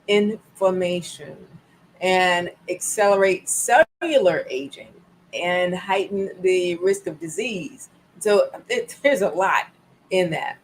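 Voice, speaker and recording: A woman's voice: lowish voice, a little hiss or hum, rough recording. A woman of sixty hosting a wellness live stream, repeating her points and chatting to viewers with bursts of laughter. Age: 60s. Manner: animated, repeats phrases, laughs, talks to viewers. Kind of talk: casual live-stream talk. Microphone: live-stream setup.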